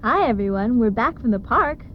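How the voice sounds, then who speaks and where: A woman's voice: on the high side, animated, moderate pace, a little hiss or hum, lightly acted. An actress playing a cheerful woman back from walking a pet, in a radio sketch. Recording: muffled old radio recording.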